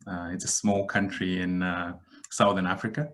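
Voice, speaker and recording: A man in his thirties from Zimbabwe, a podcast guest giving a measured, reflective account with frequent pauses. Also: sits low, little pitch movement, fairly bright tone. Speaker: guest, digital transformation expert. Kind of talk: podcast interview answer.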